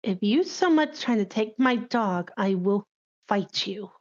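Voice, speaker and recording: A woman's voice: medium pitch, animated, a little slow, lo-fi sound. A woman playing a tabletop role-playing game, chatting casually in and out of character.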